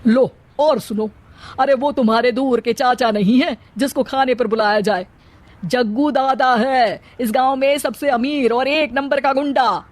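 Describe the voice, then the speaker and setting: Older village mother-in-law performed by a woman, high-pitched, scolding, fretful, for a moral story. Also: a little slow. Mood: sarcastic grumbling. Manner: grumbling, exclaiming.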